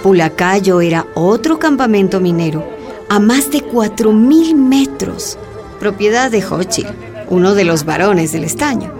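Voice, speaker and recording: A woman's voice: mid-range voice, animated, a little slow, over music, close to an everyday voice. A woman playing a courteous, inquisitive journalist who narrates a radio drama in a polite storytelling manner. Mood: narrating.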